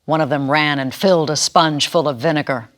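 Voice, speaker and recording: A narrator giving an expressive, dramatic reading of a scripture story. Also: mid-range voice, a little fast, close to an everyday voice. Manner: expressive, acts out quoted speakers.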